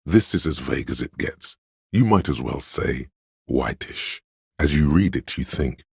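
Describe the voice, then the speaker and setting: Casting: pedantic inner narrator for a game, husky bass, erudite and pompous, measured delivery, close dry sound. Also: male voice, animated, a little mellow, dry recording, heavily acted. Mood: dry, condescending. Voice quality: very deep, gravelly, husky. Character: pedantic know-it-all inner voice, a walking encyclopedia of trivia.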